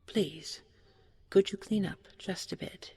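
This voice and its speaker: A woman voicing a humble, weary young storybook wanderer with a soft, courteous and hesitant way of speaking. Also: medium pitch, slow pace, slightly rough, voice pushed up. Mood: gentle pleading.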